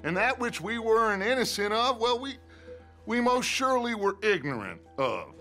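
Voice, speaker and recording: An actor playing a middle-aged outlaw gang leader who talks in a measured, silver-tongued way with a veiled threat. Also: male voice, sits high, very animated, bright, forceful tone, soft background music, exaggerated voice. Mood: persuasive. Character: smooth-talking male outlaw gang leader. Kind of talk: video game cutscene acting.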